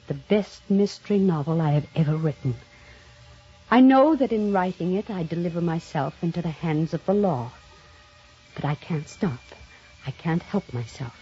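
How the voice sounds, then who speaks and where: A tormented lady novelist making a dramatic confession in a radio mystery. Her delivery is slow and heavy. The sound is a dull 1950s broadcast with music behind her. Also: on the low side, warm tone.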